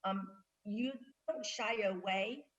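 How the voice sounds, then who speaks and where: A woman on a career panel, giving measured advice. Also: mid-range voice. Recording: dull lo-fi remote audio.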